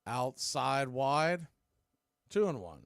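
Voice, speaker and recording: A man's voice: medium pitch, very animated, takes it slowly, no room sound, clean studio sound. A man calling live baseball play-by-play for radio in clipped, punchy phrases. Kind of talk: live radio sports commentary.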